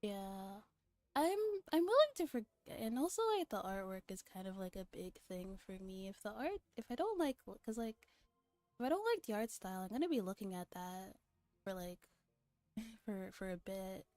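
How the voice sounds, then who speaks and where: A woman's voice: medium pitch, expressive, normal speed, darkish tone, no echo, faint music behind. A young woman livestreamer chatting casually to her viewers in an informal, rambling, teasing and giggly manner, on a close microphone.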